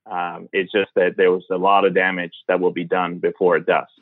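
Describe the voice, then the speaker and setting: A man's voice: low voice, completely flat delivery, brisk, dry recording. A man, a guest born in Iran and raised in Canada, answering interview questions thoughtfully with many fillers. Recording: tinny phone-like line.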